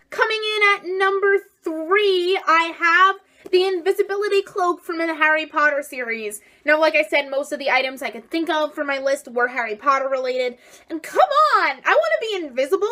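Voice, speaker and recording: A teenage girl hosting a chatty book vlog, bubbly and fond of "like", her voice still a bit hoarse from recent strain. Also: high-pitched, bright, forceful tone. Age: teens. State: slightly hoarse, recovering voice. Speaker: book vlogger. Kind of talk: casual vlog list.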